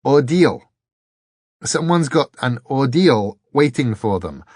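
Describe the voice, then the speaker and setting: Man acting a high-pitched, flustered babbler. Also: big pitch swings, takes it slowly, a little mellow, no room sound, clean studio sound. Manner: hesitant, babbling. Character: nervous ordinary man, babbling, indignant.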